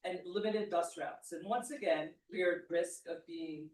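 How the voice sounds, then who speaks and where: A woman's voice: on the low side, moderate pace, a little mellow. Distant woman in an echoey room. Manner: formal, reading a prepared statement. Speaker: public commenter. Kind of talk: prepared public comment. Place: public meeting room. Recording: echoey room, away from the mic.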